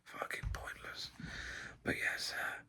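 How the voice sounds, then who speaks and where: A man's voice: slow, bright, forceful tone. A man doing a whispered ASMR ramble, sardonic and sweary, enunciating carefully and poshly with a crackly whisper. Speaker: heavy metal musician making an ASMR video.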